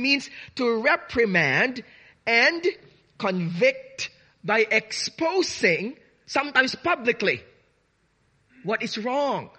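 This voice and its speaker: A man preaching a sermon to his congregation, earnest and deliberate, with frequent restarts, drawing out key words. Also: very high voice, bright, forceful tone, breathy edge. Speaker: pastor.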